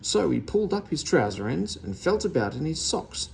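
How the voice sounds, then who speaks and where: A man's voice: fairly high pitch, very animated, moderate pace, gravelly, a little hiss or hum. A man reading a children's storybook aloud as narrator. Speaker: story reader.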